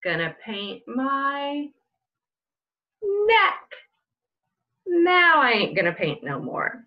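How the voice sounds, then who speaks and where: A middle-aged woman reading a children's picture book aloud in a sing-song way, with drawn-out, emphatic delivery. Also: high voice, wildly swooping pitch, lo-fi sound. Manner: drawn-out build-ups with loud emphatic punch words.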